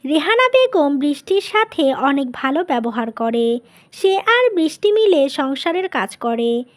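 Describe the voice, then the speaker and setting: A woman's voice: high-pitched, very animated, unhurried. A woman narrating a cartoon tale as a scripted storyteller.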